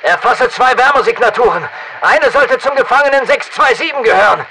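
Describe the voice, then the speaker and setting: Battle-hardened special forces operator for a shooter game: high-pitched, fry-edged, clipped radio commands. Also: male voice, lively intonation, fairly quick, pushed and bright, a little hiss or hum, heavily acted, low-quality recording. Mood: focused. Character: masked male special forces soldier, terse and battle-hardened. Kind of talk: military radio callouts in a shooter game dub.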